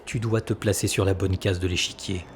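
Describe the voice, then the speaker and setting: An actor playing a calculating senator who persuades with measured authority in an audio drama. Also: male voice, low voice, level tone, slight background noise, close to an everyday voice. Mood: persuasive. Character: calculating, persuasive male senator and military schemer.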